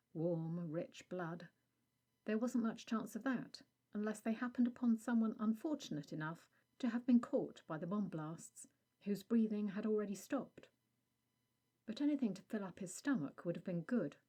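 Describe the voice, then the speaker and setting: A woman reading a scripted audio story as narrator, in a measured storytelling delivery. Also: mid-range voice, warm tone, slightly rough.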